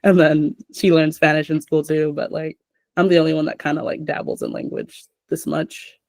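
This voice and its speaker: Young woman hosting, kinda gravelly, lots of "like"s, just chatting easy. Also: sits low, level tone, normal speed, a little mellow, no echo.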